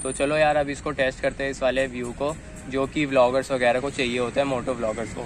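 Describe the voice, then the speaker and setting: A man's voice: medium pitch, little pitch movement, fast talker, faint music behind. A young man casually unboxing and testing a gadget, talking to viewers as guys, recorded outdoors on a phone camera. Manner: chatty, addresses viewers as guys. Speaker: vlogger. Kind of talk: casual unboxing and test vlog. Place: outdoors in an open dirt field, later riding on a street. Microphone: phone camera, later a chest-mounted phone.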